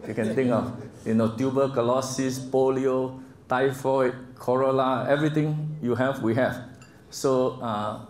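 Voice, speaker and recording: A Singaporean man in his sixties giving a slide presentation to a group, speaking in a halting, gently humorous way. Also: medium pitch. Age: 60s. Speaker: guest speaker. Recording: echoey room with faint background noise.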